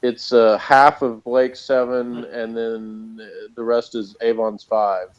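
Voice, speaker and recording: A man with a medium-pitched voice in a slightly dull, lo-fi recording. He hosts a relaxed review chat, reading from notes and adding his own chuckling remarks. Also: slow pace. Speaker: review show host.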